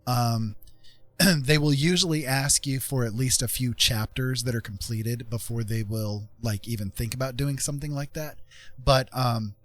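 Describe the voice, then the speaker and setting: A man's voice: medium pitch, normal speed, dry recording. A man chatting casually on a livestream, explaining things conversationally and often checking in with the listener. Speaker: streamer and self-published author.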